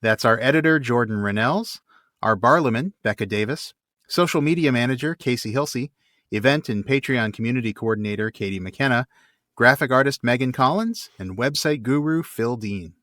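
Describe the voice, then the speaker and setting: Man co-hosting a podcast, mid-pitched voice. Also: expressive, normal speed, a bit bright, dry recording, clean studio sound. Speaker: podcast co-host. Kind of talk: casual banter and show sign-off.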